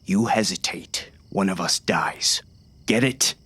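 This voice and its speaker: A man's voice: sits low, slow, pushed and bright, husky, exaggerated voice. A man playing a hard, vengeful sheriff in a western audiobook, speaking in a curt, threatening way. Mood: grim, threatening. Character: hard, menacing male sheriff, a vengeful father. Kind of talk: first-person audiobook narration and dialogue.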